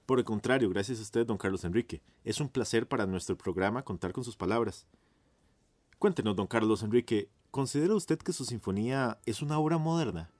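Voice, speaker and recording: A man's voice: on the low side, a little fast, a little mellow, no room sound, lightly acted. A man acting in radio theatre as a courteous, enthusiastic 1950s radio journalist, with a Costa Rican accent and a formal, announcer-like manner. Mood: admiring, courteous. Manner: formal, effusively polite, announcer-like.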